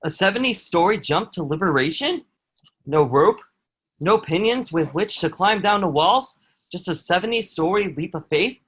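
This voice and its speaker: A man's voice: high voice, expressive, moderate pace, a bit bright, breathy edge, full character voice. A man playing a proud, dutiful enemy soldier who is secretly awed by his captive, in an earnest, formal table read of a historical drama. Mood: amazed. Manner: earnest, formal archaic phrasing.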